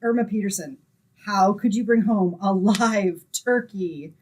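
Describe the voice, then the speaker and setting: A woman's voice: medium pitch, unhurried, darkish tone, lightly acted, rough recording. A woman playing a sensible, long-suffering young roommate in an old-time radio comedy, with a dramatic, wry manner. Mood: frantic, exasperated.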